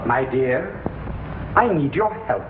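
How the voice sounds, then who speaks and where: An actor playing a ruthless villain who commands androids in slow declamatory orders, in a 1950s TV serial. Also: male voice, mid-range voice, animated. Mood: coolly scheming. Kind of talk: 1950s television serial acting. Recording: tinny old TV film soundtrack, music behind.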